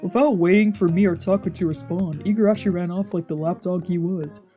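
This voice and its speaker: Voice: mid-range voice, a little fast, warm tone. A streamer narrating a visual novel aloud in a scripted storytelling style. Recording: music behind.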